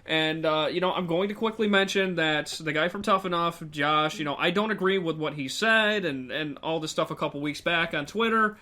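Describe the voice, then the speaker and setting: A man's voice: fairly high pitch, normal speed, few pauses, sharp tone. A man co-hosting a casual discussion show, rambling with lots of fillers and some swearing. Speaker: co-host.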